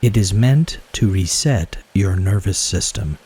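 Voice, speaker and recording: A man guiding a yoga nidra meditation in a soothing, unhurried way, speaking in short phrases. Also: deep voice, lively intonation, mellow, husky. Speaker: guided meditation teacher.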